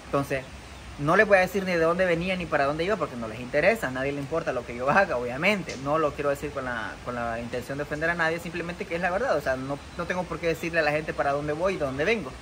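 A man's voice: on the high side, quick pace. A young man, a content creator, talking to the camera outdoors beside a muddy rural road, earnest and defensive, with many fillers and restarts. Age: young adult. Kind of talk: vlog to camera. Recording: outdoor noise behind. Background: outdoor ambience.

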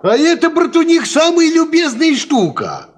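An actor playing a worldly, cocksure peasant farmhand, explaining things in folksy fashion in a 1950s Soviet radio play. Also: male voice, very high-pitched, moderate pace, full character voice. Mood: knowing. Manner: folksy, animated, instructive.

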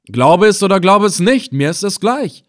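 A man's voice: high-pitched, very animated, normal speed, voice pushed up. A man voicing a proud, fearless captive adventurer in an audiobook, speaking emphatically with bold, taunting defiance. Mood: scornful, bold. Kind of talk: dramatized audiobook adventure reading.

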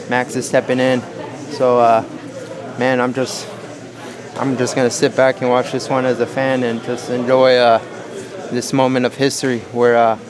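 A man from Hawaii speaks in an even, level tone, pausing and restarting as he weighs his words. He talks in a large gym with lots of chatter around him. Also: medium pitch. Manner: thoughtful, halting, many restarts. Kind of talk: casual interview.

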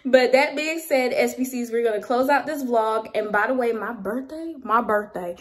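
A woman's voice: a little high, moderate pace, slight background noise. A young woman vlogging at home, reading a devotional aloud and chatting casually into a phone held close. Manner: chatty, upbeat, warm-hearted sign-offs. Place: indoors at home, small room. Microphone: phone camera held close.

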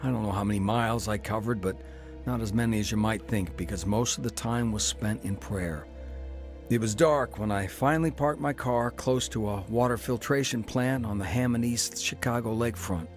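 A man's voice: on the low side, moderate pace, soft background music. A man narrating his own story of faith in a dramatized radio testimony, earnest and preacherly.

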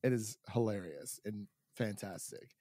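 A man's voice: lowish voice, expressive, unhurried, dark tone, no room sound, clean studio sound. A young American man hosting a podcast, chatting casually about films. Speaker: podcast host. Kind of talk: casual film review chat.

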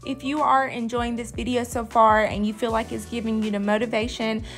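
A woman's voice: fairly high pitch, flat delivery, fairly quick. A woman vlogger giving a casual, conversational voice-over. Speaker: vlogger, host of a cleaning channel. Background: music.

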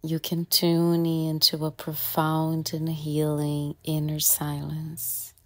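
A woman guiding a meditation in a calm, gentle way, leaving long pauses between short phrases. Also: deep voice. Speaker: meditation guide. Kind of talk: guided meditation, soft and soothing instruction.

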